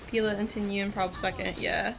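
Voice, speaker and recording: A young woman livestreams a game in a casual, rambling way. Her voice is bright and mid-pitched with a creaky edge, and faint game music plays behind her. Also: level tone, moderate pace. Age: young adult. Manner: rambling, chatty, giggly. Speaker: game streamer. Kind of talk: casual livestream chat while gaming.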